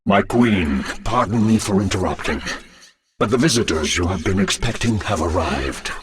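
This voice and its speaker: A man playing a queen's grave, deferential lieutenant in a video game, speaking in a formal, courteous, measured way. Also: deep voice, animated, husky, heavily acted. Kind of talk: scripted video game voice acting.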